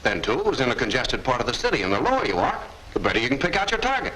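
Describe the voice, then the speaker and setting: A cocky young bomber lieutenant, bragging eagerly. He talks quickly, with very animated pitch. The recording is a noisy 1940s film soundtrack. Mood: boastful, eager.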